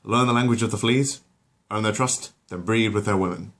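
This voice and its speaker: A man's voice: lowish voice, moderate pace, fairly bright tone, close to an everyday voice. A man voicing a slobbish, dim-witted cartoon father who blusters with smug confidence.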